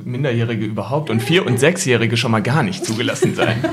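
A man's voice: mid-range voice, quick pace, music in the background. A man telling a casual anecdote in conversation as a podcast guest.

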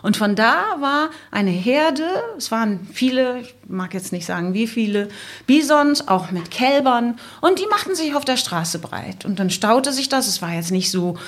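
A woman's voice: a little high, expressive, unhurried. A middle-aged woman casually telling anecdotes as a podcast guest, thinking aloud with an amused manner. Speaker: podcast guest, motorcycle traveller.

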